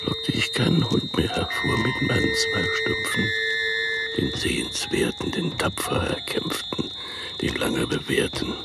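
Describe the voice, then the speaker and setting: Creaky, wildly swooping crippled beggar. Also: male voice, fairly low pitch, sing-song, a little slow, heavily acted, low-quality recording. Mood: plaintive, proud. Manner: declamatory, repetitive lament. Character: wretched crippled street beggar, proudly self-pitying. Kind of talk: radio play acting.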